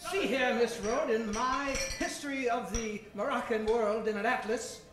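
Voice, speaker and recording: Woman as a high-voiced bookish adventurer professor. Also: normal speed, natural voice. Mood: earnest, pompous. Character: bookish adventurer professor, stern guardian of a boy. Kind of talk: old-time radio comedy acting. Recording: echoey stage, music behind.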